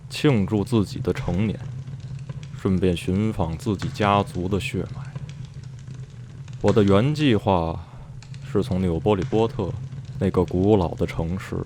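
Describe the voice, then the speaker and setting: Man playing a grave, low-voiced older storyteller. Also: a little mellow. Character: older man, grave, measured, recounting his youthful journey. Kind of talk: radio drama dialogue and storytelling. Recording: clear background noise with clicks.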